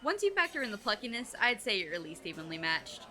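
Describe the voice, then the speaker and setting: Audio drama best friend, woman actor: teenage girl, mid-pitched, clear-edged, deadpan, sarcastic yet loyal. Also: fast talker. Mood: resigned, teasing.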